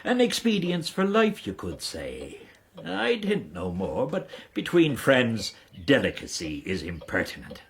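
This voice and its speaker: An actor playing a roguish, fawning Irish knight and debt-dodging fixer in a radio period drama, speaking with an Irish accent and theatrical flourishes. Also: male voice, mid-range voice, wildly swooping pitch, a little slow, a little hiss or hum. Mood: jaunty, cynical. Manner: theatrical, ingratiating, rhetorical flourishes.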